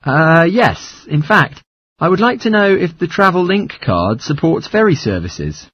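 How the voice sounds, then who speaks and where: Man playing a husky, animated male traveller. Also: a little high, measured pace, no echo, full character voice. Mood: polite, curious. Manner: polite, inquiring. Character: polite traveller asking for fare information. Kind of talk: scripted listening-test dialogue.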